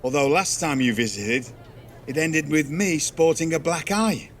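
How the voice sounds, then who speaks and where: Man acting older, higher-pitched wry wrestling official. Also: a little slow, a little hiss or hum, natural voice. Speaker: wrestling show official and ring introducer. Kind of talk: scripted game dialogue.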